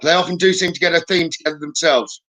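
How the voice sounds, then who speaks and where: Bright-voiced man hosting a live psychic stream. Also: a little high, steady tone, fast, rough recording. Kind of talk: live stream readings, chatting with viewers.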